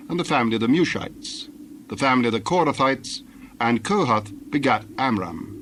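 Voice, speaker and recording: Guy reading the bible as narrator, formal n measured, mid pitched, noisy ambience behind him. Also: fairly bright tone. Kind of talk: scripted dramatized scripture narration.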